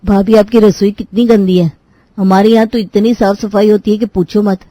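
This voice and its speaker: A woman's voice: mid-range voice, level tone, normal speed, warm tone, no echo, natural voice, rough recording. A woman storyteller acts out a picky young brother-in-law in a cartoon tale. He is blunt and fault-finding, and the sound is close and dry. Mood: scornful. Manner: complaining, blunt. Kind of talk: Hindi cartoon moral story dialogue.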